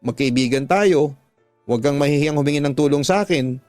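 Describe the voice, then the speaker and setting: Casting: older female neighbor played by a man, motherly confidante, coaxing advice, slightly high pitch, faint music behind. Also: fairly quick, darkish tone, dry recording, lightly acted. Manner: coaxing, advising. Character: older neighborhood gossip woman, motherly and concerned.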